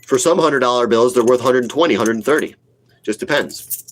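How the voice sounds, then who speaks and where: A man's voice: medium pitch, fairly quick, slight background noise. Blunt man around forty with a cold. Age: about forty. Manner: blunt, opinionated, repeats himself for emphasis. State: sick with a cold, sniffling. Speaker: livestream host, retail investor. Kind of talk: casual livestream chat, answering viewer comments.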